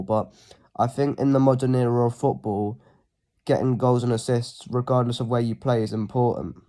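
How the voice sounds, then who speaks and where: A man with a cold, sounding a bit sniffly, talking casually through a football team pick, with frequent ums and phrases that trail off quietly. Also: medium pitch. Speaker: football fan channel host. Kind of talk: casual solo preview talk.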